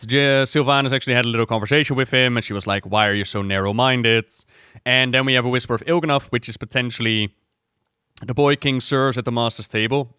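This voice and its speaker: A man's voice: medium pitch, bright, forceful tone, clear, resonant tone, no room sound, studio quality. A man giving casual livestream reaction commentary as a game lore YouTuber, explaining things in a chatty, informal way.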